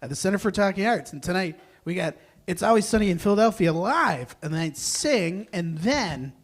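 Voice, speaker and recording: A man hosting a live stage event, announcing informally with halting, self-interrupting delivery. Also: high voice, lively intonation. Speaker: host of a live table read.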